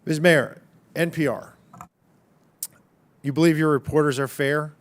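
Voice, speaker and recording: A man's voice: fairly high pitch, fairly even intonation, unhurried. A man, a congressman, questioning a witness at a hearing in a pointed, combative way, stacking up numbers. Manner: pointed, combative, piles up figures. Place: hearing room.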